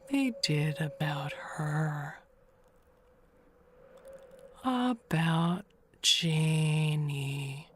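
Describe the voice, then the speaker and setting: Slow, halting, nervous neighbor woman, acted. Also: low-pitched, slight background noise, full character voice. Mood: hushed, brooding. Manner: halting, rambling, trailing into hushed musing. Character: Agnes, chatty, nervous, wistful lonely neighbor woman. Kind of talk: dramatic monologue in an audio mystery play.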